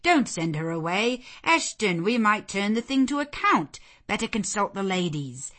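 A woman voicing a sociable, persuasive gentleman colonel in a storybook, in a coaxing, declamatory manner. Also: medium pitch, very animated, normal speed, voice pushed up. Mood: genial, persuasive.

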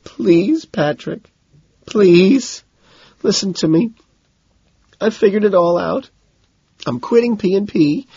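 Lovesick clinger: high-pitched, tearful, wailing, begging pathetically, audiobook drama. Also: male voice, animated, slow, vocal fry, pauses often, a little mellow, slightly rough, no room sound, highs cut off, exaggerated voice. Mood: tearful pleading. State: crying. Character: sobbing, pleading lovesick man, pathetically clinging.

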